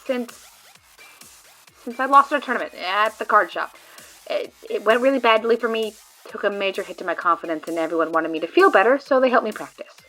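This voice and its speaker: A woman's voice: mid-range voice, expressive, a little fast, a bit bright, faint music behind, close to an everyday voice. A woman playing a brash, teasing and loyal friend who reassures and boasts. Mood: chatty. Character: Rizara, confident loyal friend and card gamer. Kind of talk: fan audio drama acting.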